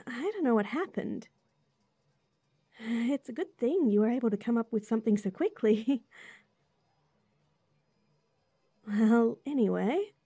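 Woman acting a sweet, high-voiced young girlfriend, unhurried. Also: breathy edge, no echo, studio quality. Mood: apologetic, soft. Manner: giggly, gently reassuring. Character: sweet, bubbly young rental girlfriend on a date. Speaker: audio roleplay voice actor. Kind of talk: intimate girlfriend roleplay acting.

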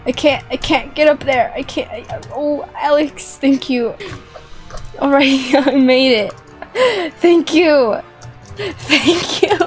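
A woman's voice: high voice, slow. A young woman hosting a playful gameplay video in an exclamatory, giggly manner, often repeating phrases. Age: young adult. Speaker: gaming video host. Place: small indoor room with plush toys. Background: music.

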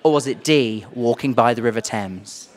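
A young adult man with a British accent hosting a playful quiz interview in an animated, exclaiming way into a handheld microphone. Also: mid-range voice, normal speed. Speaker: host, quizmaster. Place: small furnished indoor room.

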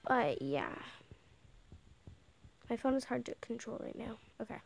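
A woman's voice: on the high side, sing-song, unhurried, creaky, soft background music. A young girl giving a casual tour in a game on a handheld device, chatting and often dropping to a whisper. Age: child. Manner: chatty, drifts into whispers while playing. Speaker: channel owner giving a game house tour. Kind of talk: casual gameplay commentary.

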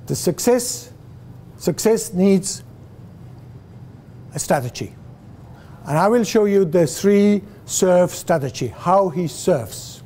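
An older man with a Swiss accent lectures in a classroom, his voice sitting fairly high for a man. He talks slowly and animatedly, full of "uh"s and restarts, with a faint hiss behind him. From Switzerland. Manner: animated, gesturing, many fillers and restarts, asks the audience questions. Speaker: guest lecturer. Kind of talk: guest lecture to students. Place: classroom with a screen, indoors. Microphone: far camera, no mic seen.

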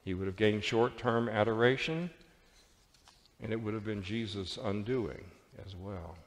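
Low-voiced male preacher in a reverberant hall. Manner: deliberate, dramatic phrasing with pauses. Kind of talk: sermon with dramatized dialogue. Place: large church sanctuary with congregation.

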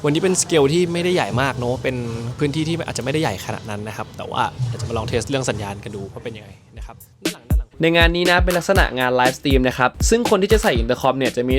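A man's voice: medium pitch, fairly bright tone. A man narrating a product review in an explanatory way, with frequent polite particles. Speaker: tech reviewer and production crew member. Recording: background music under the voice. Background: background music.

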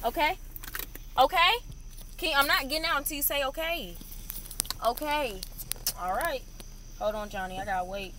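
A woman's voice: sits high, moderate pace, pauses often, pushed and bright, slight background noise. A young woman vlogging casually to a phone at arm's length, playful and teasing, in a car and then a small room. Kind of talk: casual chat to camera. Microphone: phone camera at arm's length.

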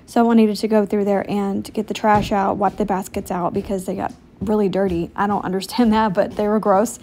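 A woman's voice: medium pitch, flat delivery, fairly quick, a little mellow, a little hiss or hum. A woman in her thirties with an American accent, chatting casually and informally as a self-deprecating homemaking vlogger.

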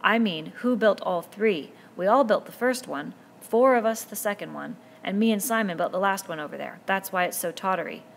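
A woman's voice: medium pitch, normal speed, gravelly, few pauses, slight background noise, close to an everyday voice. A woman reading a novel aloud, voicing an earnest, commanding boy chief who pleads and insists as he lays down rules. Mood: urgent.

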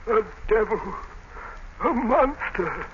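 A man playing a haunted, despairing old captive sea captain, halting and ominous, in 1930s radio drama style. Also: high voice, expressive. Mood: haunted, fearful. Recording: muffled, noisy 1930s radio recording.

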